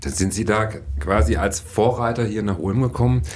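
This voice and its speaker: A male interviewer with a fairly low voice asks polite follow-up questions. He often hedges with "äh" and "ähm" as he works toward each question. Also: moderate pace. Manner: polite, probing questions with fillers.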